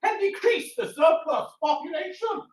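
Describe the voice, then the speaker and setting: A man playing a callous rich old miser, sharp and sneering, in a theatrical stage reading. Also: extremely high pitch, expressive, moderate pace. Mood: scornful. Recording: roomy, distant mic.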